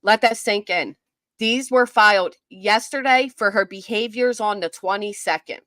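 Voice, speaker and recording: A woman's voice: medium pitch, normal speed, fairly bright tone, no echo, clean studio sound. Blunt sarcastic woman losing her voice. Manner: blunt, sarcastic, laughs and swears. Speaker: livestream commentary host. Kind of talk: casual livestream commentary.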